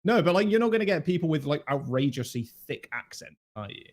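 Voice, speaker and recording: A man co-hosting a casual podcast chat, profane and excitable. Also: on the high side, fast talker. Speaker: podcast co-host.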